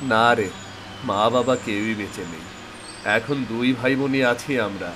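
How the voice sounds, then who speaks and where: A man playing a struggling, protective older brother and old friend in expressive, resigned cartoon dialogue. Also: mid-range voice, a little slow, natural voice. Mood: resigned, downcast. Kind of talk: acted cartoon drama dialogue. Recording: noisy outdoor ambience with birds, insects.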